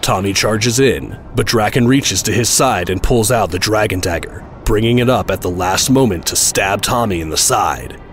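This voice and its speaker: A man narrating a scripted action audio drama, with loud music under his voice. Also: on the low side, normal speed, hardly any pauses.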